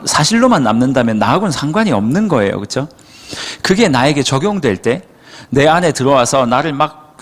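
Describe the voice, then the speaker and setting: A male youth pastor from Seoul preaching in a church hall, his pitch rising and falling with plenty of life. He talks in a casual, conversational way and keeps asking the room to agree with him. The voice carries a long hall reverb. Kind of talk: sermon.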